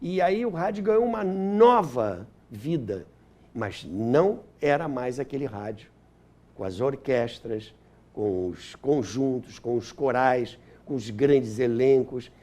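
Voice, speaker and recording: Animated older Brazilian man, mellow voice. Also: mid-range voice, takes it slowly. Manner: explanatory, acts out quotes, repeats phrases for emphasis. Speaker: interview guest, historian and author. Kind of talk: anecdotal interview storytelling.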